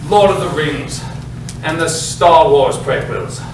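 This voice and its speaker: A man's voice: on the high side, takes it slowly. Man playing a pompous playwright in very echoey room. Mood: self-important. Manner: pompous, theatrical. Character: pretentious, self-important male playwright presenting his play. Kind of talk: staged reading, mock-grand introduction to audience. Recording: very echoey hall, distant, noisy.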